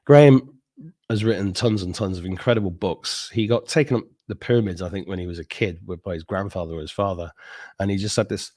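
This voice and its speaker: A man's voice: low-pitched, a little fast, a little mellow. A middle-aged man hosting a live stream, chatting casually with viewers, joking, laughing often and drifting into rambling asides. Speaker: host.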